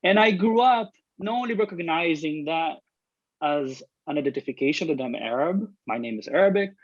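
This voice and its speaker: Man, earnest and halting, muffled dry video-call sound. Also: on the high side, expressive, no room sound. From Brazil. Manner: earnest, rambling with restarts. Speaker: artist panelist. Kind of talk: panel discussion.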